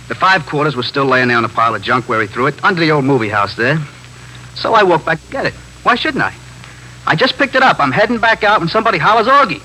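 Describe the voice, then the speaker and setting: Fast-talking, nervy street hustler. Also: male voice, on the high side, bright, forceful tone. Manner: streetwise slangy talk, rambling, self-justifying. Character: nervy, talkative small-time street drug pusher. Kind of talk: 1950s radio drama acting. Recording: noisy 1950s radio broadcast recording with hum.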